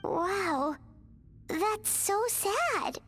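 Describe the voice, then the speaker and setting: A woman voicing a small, childlike, excitable fairy companion in an anime video game, squeaky and expressive. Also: drawn-out words, heavily acted. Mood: pitying. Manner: expressive, refers to self in third person. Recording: background music.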